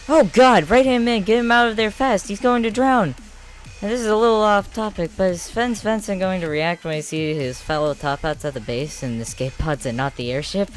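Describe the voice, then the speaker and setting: Man acting an anxious, higher-pitched gang member. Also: normal speed, close to an everyday voice. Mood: alarmed. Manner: urgent, exclamatory. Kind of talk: comic dub character acting. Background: music.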